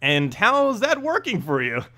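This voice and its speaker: A man's voice: high-pitched, wildly swooping pitch, measured pace, sharp tone, exaggerated voice. A man voicing a sly, confident female assassin in a fantasy story, teasing and dry.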